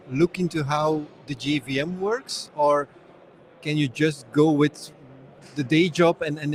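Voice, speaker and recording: A man's voice: a little high, a little hiss or hum. A man with a slightly raised voice hosts a casual interview at a conference. He asks curious, friendly questions and drops in light jokes. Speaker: interviewer, host. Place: conference venue.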